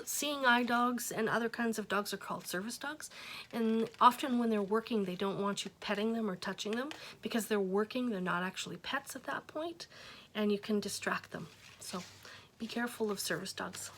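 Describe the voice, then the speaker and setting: A woman reading a children's book aloud, with gentle asides to her young listeners. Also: fairly high pitch, normal speed, a little hiss or hum. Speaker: reader.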